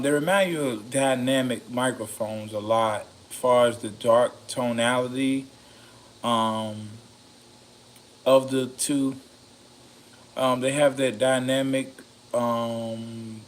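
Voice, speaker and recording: Raspy man, slow meandering casual talk, loose and a bit tipsy, faint room hum behind. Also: medium pitch, fairly even intonation. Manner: slow, meandering, many ums and slangy phrasing. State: a bit intoxicated. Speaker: home studio vlogger reviewing microphones. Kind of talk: rambling casual talk to camera.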